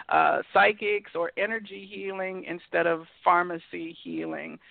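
A woman's voice: on the low side, gravelly, dry recording. A woman hosting a talk show, asking long, thoughtful, rambling questions with many fillers. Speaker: host. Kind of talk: interviewing guests on a call-in show. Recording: tinny phone line.

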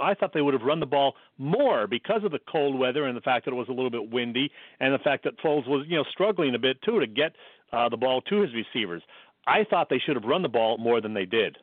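A man's voice: mid-range voice, no echo. A man co-hosting a sports radio show, giving his opinions in a casual, matter-of-fact way. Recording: dry, thin phone-like sound.

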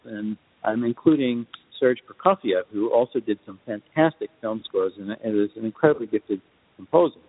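Husky guy explaining stuff on a podcast, deliberate and emphatic, sounds like a phone with long reverb. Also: on the low side, narrow, tinny audio. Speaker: guest film composer.

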